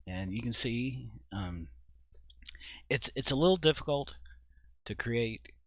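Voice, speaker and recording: A man giving an informal software walkthrough at his computer, thinking aloud in a halting, casual way. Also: medium pitch, expressive, no echo. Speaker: video creator and host. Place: indoors at a computer.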